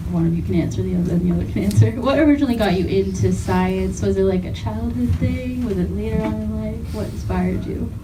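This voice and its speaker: A woman hosting a comedy science show, interviewing guests on stage in a chatty, giggly way with many fillers. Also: mid-range voice. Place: small comedy theatre with audience. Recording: distant mic, audience and hiss.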